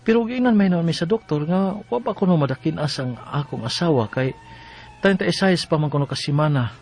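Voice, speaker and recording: An earnest young husband in a radio drama, full of concern. His voice is very high for a man, with a Cebuano accent and faint music behind. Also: expressive, a little fast, a little mellow. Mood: troubled, narrating. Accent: Cebuano Bisaya. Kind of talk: Bisaya radio drama acting.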